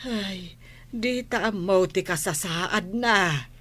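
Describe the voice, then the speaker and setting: A worried mother in an old radio drama. Her mid-pitched voice moves slowly, fretful and sighing with care. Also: a bit bright. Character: anxious, grieving mother worrying over her absent child. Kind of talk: Philippine radio drama acting.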